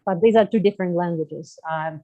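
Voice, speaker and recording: Low-voiced young woman, halting speech. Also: narrow, tinny audio. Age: young adult. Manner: halting, with frequent fillers and restarts. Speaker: interview guest. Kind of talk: conversational interview.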